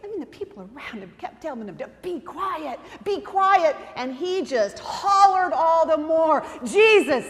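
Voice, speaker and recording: A woman's voice: very high voice, lively intonation, measured pace, hardly any pauses, fairly bright tone, voice pushed up, full character voice. A woman playing a devoted, proud mother in a dramatic monologue, telling her story emphatically with conversational asides. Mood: exclaiming, impassioned. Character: devoted, proud mother of a blind beggar son. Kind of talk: dramatic monologue reading at a church service. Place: church, during a service. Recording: reverberant church hall.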